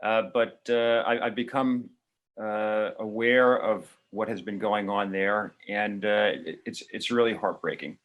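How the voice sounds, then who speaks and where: Halting New man, low voice. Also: steady tone, dull audio. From Queens, New York. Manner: hesitant, many fillers and restarts. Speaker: guest. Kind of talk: discussion.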